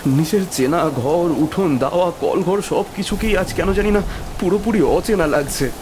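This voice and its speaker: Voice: mid-range voice, moderate pace, background noise, lightly acted. An actor playing a terrified young friend trapped in a haunted house in an audio horror story, anxious and hesitant. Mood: uneasy, despairing.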